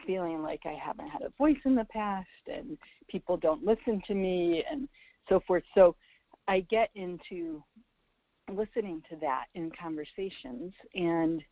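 Female caller, lowish voice, halting and reflective, working out a question aloud on a dry, tinny telephone connection. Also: animated, no echo. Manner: hesitant, halting, thinking aloud. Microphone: phone call. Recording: narrowband phone line sound.

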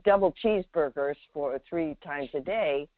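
A woman giving unscripted spiritual guidance on a call-in, speaking in a measured, halting way with pauses and check-in questions. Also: low voice, no echo. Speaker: Zen teacher hosting a call-in. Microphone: telephone. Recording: narrow tinny phone line.

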